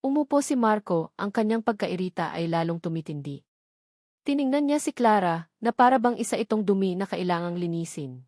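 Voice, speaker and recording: A woman storyteller delivers scripted romantic drama with emphasis, narrating in a dramatic manner. Her voice has a middling pitch and sits in a dry, close sound with no room around it. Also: animated, brisk, darkish tone, no room sound.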